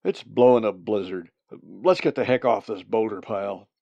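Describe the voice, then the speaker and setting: A male narrator acts out a gruff storybook hunter, stubborn and folksy. The voice is loud and gravelly, with rustic phrasing, recorded close and dry. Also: lowish voice, normal speed, dry recording, lo-fi sound. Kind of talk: storybook character voice.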